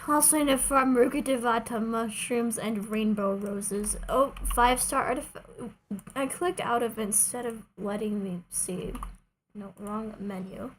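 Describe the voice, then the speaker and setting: Higher-voiced woman streaming, playful and casual. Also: fairly even intonation, unhurried, a little hiss or hum, rough recording. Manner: playful, self-deprecating, talks to herself and viewers. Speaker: streamer, video game player. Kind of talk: casual gaming livestream chat.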